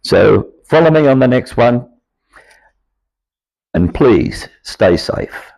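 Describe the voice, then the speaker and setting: An older Australian man with a croaky, aged voice, telling his life story to camera in an earnest, rambling way. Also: mid-range voice, very animated, takes it slowly, darkish tone, dry recording. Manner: earnest, rambling, grows insistent. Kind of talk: personal monologue to camera.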